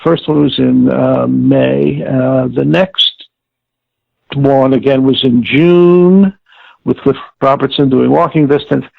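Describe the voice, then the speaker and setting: An older man being interviewed, recalling past work in a halting, deliberate, wordy way and often correcting himself. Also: mid-range voice, steady tone, creaky, dry recording. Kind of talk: reminiscing interview. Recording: tinny narrowband call, like old radio.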